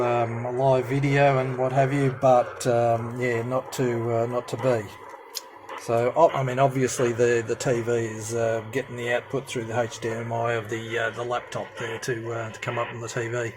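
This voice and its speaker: A man with a mid-pitched voice presents an informal, hands-on demonstration. He speaks haltingly, pausing often as he works. The handheld indoor recording carries some faint noise. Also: completely flat delivery. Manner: hesitant, halting delivery with many pauses. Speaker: amateur CB radio hobbyist presenter. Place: indoor room at home with radio gear.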